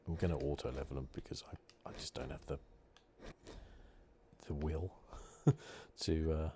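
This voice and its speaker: Male ASMR gamer, extremely low hushed voice, noticeable noise behind him. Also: steady tone, a little slow. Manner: soft-spoken, hushed, drifting into whispers. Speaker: gaming channel host. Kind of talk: ASMR video game playthrough commentary.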